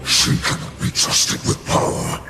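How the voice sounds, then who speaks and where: An actor voicing an anguished, distrustful alien elder in a video game, in an emphatic, dramatic manner. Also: male voice, measured pace, over music, lightly acted. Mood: anguished, accusing.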